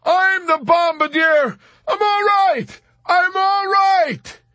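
A man's voice: very high-pitched, slow, bright, forceful tone, dry recording, pitched above the performer's own voice, exaggerated voice. A man voicing a terrified young male airman in an audiobook, crying out and repeating himself frantically. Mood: frantic shouting. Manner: shrieking, frantic repetition. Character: panicked young male airman, shrieking in terror.

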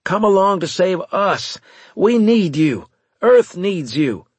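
A man playing a desperate, commanding space captain in an audiobook, forceful and insistent. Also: on the high side, normal speed, slightly rough, no echo, pitched above the performer's own voice. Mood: desperate pleading. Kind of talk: audiobook character dialogue.

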